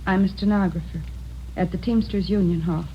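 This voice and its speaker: A woman's voice: fairly low pitch, steady tone, brisk, close to an everyday voice. Lady doing formal precise secretary witness in old radio show, muffled, faint noise. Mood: composed, formal. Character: earnest, precise female office stenographer testifying. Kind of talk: 1950s radio drama acting.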